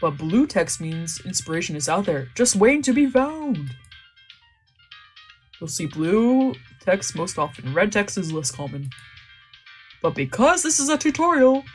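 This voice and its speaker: A woman's voice: fairly low pitch, big pitch swings, measured pace, faint music behind. A woman streaming a visual novel, reading its text aloud and joking playfully between lines, laughing and swearing casually.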